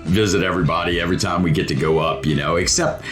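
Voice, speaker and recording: A middle-aged American man hosting a casual interview, with a halting, rambling, folksy way of talking. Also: low-pitched, over music. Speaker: talk show host.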